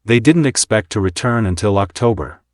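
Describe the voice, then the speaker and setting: A man with a fairly low voice narrates history as a scripted story. The sound is dry, with hardly any room around the voice. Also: lively intonation, normal speed, no room sound.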